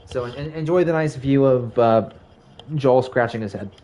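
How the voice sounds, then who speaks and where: A man's voice: medium pitch, moderate pace, warm tone, highs cut off. A young man chatting casually on a live game stream, his voice slightly scratchy. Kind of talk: casual live stream chat over gameplay.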